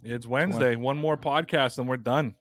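A man hosting a sports podcast in casual chat, with energetic, rapid promo reading. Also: mid-range voice, dry recording. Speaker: podcast host.

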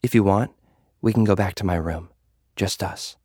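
A man's voice: low-pitched, normal speed, mellow, dry recording. A man putting on a young female influencer who fights for fame, cool and brash. The delivery is clipped and dismissive, dry and close, in audio drama style. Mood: coaxing.

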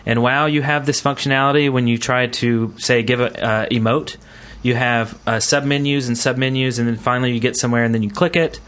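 A man with a mid-pitched voice hosts a casual gaming podcast. He rambles along in a jokey, conversational way, circling around his points as he chats. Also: steady tone, a little fast, hardly any pauses, fairly bright tone. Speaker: podcast host.

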